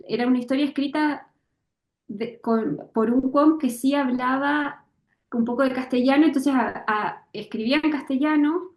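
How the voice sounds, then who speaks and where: Woman writer, higher voice, halting reflective talker, roomy echoey sound. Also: darkish tone. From Misiones, Argentina. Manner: hesitant, searching for words, many fillers and restarts. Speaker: author interviewed about her book. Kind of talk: thoughtful conversational interview.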